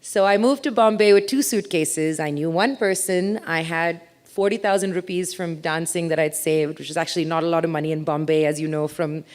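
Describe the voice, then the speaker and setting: Woman, mixed international accent, slightly low voice, stage talk, chatty, self-mocking. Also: brisk, hardly any pauses, darkish tone. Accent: mixed international English, from a childhood abroad. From India. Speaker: speaker. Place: stage talk to a live audience.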